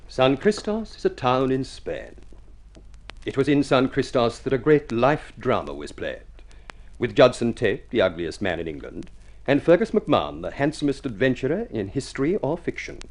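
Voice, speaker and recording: An actor playing an ugly, eloquent, self-mocking adventurer in an urbane, florid radio drama style. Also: male voice, mid-range voice, darkish tone. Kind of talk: mid-century radio drama acting. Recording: vintage radio drama recording.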